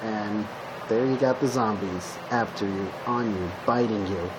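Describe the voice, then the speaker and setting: A man playing a zombie apocalypse survivor, talking casually and haltingly as he gives matter-of-fact survival advice in an improvised roleplay. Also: on the low side, close to an everyday voice. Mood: instructive, cautioning. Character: male zombie apocalypse survivor giving tips to a guest. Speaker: roleplay video host. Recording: steady background hum, noisy home recording.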